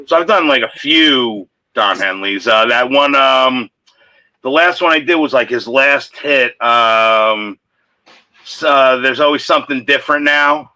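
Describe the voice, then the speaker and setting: A man hosting a music live stream, chatting casually between songs in a halting, self-effacing way. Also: mid-range voice, pushed and bright, no room sound, rough recording.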